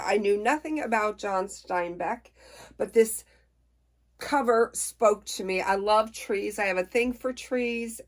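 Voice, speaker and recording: A middle-aged woman chatting casually to camera about books, unhurried and folksy, with a playful, expressive way of talking. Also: medium pitch. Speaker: book reviewer on a personal channel.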